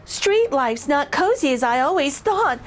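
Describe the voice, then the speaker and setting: A woman's voice: high-pitched, big pitch swings, measured pace, soft background music, pitched above the performer's own voice. A woman voicing a small, naive and hopeful young male mouse in a picture book, tender and pleading. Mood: sad, regretful.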